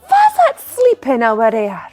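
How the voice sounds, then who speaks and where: A woman with a north-east Scottish Doric accent playing a kindly, excitable fairy godmother, speaking in theatrical pantomime rhyme. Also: a little high, measured pace, soft background music, full character voice. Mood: searching, puzzled. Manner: rhyming, exclaiming, theatrical. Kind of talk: pantomime rhyming monologue.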